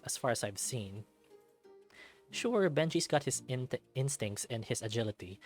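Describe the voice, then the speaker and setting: A man reading a visual novel aloud in an expressive way, dropping in casual asides of his own. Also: mid-range voice.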